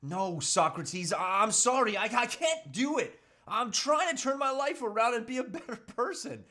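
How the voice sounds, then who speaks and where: A man's voice: very high voice, animated, normal speed, pushed and bright, full character voice. A man playing a troubled, self-pitying husband and father who earnestly resists temptation, with hesitant, pleading delivery in a script read. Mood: torn, apologetic. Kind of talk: live table read of a movie script.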